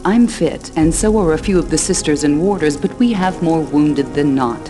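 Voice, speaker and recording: An actress playing a proud, stern older sorceress in a fantasy game, speaking formally and pointedly with protective resolve. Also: female voice, low voice, normal speed, mellow, natural voice. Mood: grave, resolute. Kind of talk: dramatic fantasy game cutscene acting. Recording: game music behind the voice.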